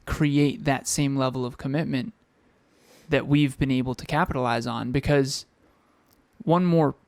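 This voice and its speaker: A man co-hosting a podcast in casual chat, talking reflectively and filling pauses with um, recorded at home with a fan running. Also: medium pitch, fairly even intonation, darkish tone, no echo. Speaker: podcast co-host.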